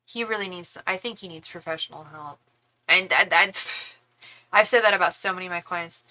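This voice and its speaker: A young woman with a bright, edged voice gives a casual psychic reading on a live stream. She rambles, restarts and speaks straight to her viewers in a chatty, conversational way. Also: fairly low pitch, normal speed, rough recording. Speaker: tarot reader and psychic.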